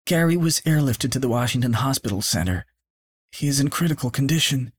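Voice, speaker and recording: A man voicing a mother who quietly delivers grave news to her son. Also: mid-range voice, expressive, brisk, slightly rough, pitched above the performer's own voice. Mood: somber.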